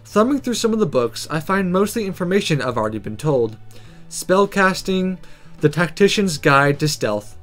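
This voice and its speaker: A man's voice: high voice, big pitch swings, normal speed, fairly bright tone, soft background music. A man let's player reading a visual novel aloud with casual, playful commentary, often cutting in on himself.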